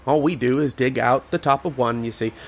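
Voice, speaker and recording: Man acting a haunted archaeologist, gravelly edge. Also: medium pitch, fairly even intonation. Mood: matter-of-fact, explanatory. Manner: dramatic first-person storytelling with deliberate pauses. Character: earnest, haunted male archaeologist narrating his uncanny tale. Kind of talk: old-time radio horror drama acting.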